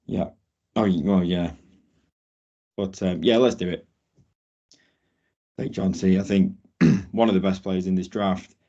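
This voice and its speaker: Deep dark-voiced man losing his voice. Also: fairly even intonation, unhurried, pauses often. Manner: clears throat often, upbeat. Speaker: draft analyst, co-host. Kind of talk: casual panel discussion. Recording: dull lo-fi audio.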